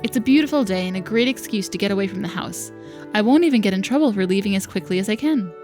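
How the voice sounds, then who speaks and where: Woman reading young servant woman, wistful, quietly defiant, visual novel heroine, reflective, music behind. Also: medium pitch, expressive, fast, close to an everyday voice. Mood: hopeful. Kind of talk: reading visual novel narration and dialogue aloud.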